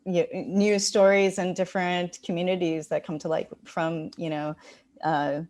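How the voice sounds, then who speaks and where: A woman's voice: on the low side, flat delivery, measured pace, a little mellow. A woman moderating a festival Q&A, asking questions hesitantly with many fillers.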